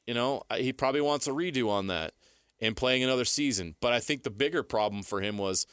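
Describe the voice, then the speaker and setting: A man's voice: mid-range voice, a bit bright, no echo, studio quality. An American man co-hosting a sports talk show, giving opinionated, blunt commentary in an informal, conversational way.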